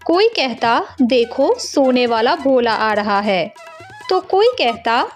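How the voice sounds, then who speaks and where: A woman's voice: fairly high pitch, animated, slow, soft background music. A woman narrating a scripted village story as a storyteller.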